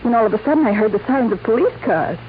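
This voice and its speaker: On a tinny, faintly noisy old recording, an actress plays an adoring young sweetheart in 1940s radio drama. She is girlish and timid, and her pitch moves about freely. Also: expressive, quick pace, natural voice. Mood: nervous, relieved.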